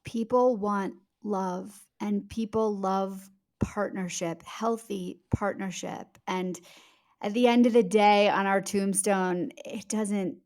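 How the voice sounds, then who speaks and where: Female author, podcast guest. Mid-pitched, conversational, emphatic and explanatory, phrasing things deliberately. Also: steady tone, a little mellow. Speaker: author being interviewed.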